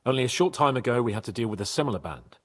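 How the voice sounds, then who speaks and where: A man playing a bold, polite young nobleman in a video game, with a husky voice and an earnest, deferential way of talking. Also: medium pitch, animated, very fast, natural voice. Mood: eager, respectful. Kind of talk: video game cutscene acting.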